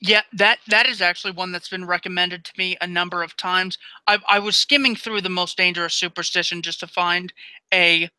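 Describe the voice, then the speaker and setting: A man's voice: sits high, fairly even intonation, brisk, sharp tone, clean tone, no echo, rough recording. A man hosting an interview, stumbling on restarts at times but speaking emphatically and with firm opinions. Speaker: interview host. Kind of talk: casual interview conversation.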